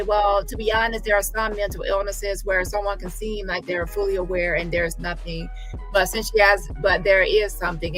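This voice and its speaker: Bright-voiced woman doing livestream commentary, music behind. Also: medium pitch, poor audio. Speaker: livestream host.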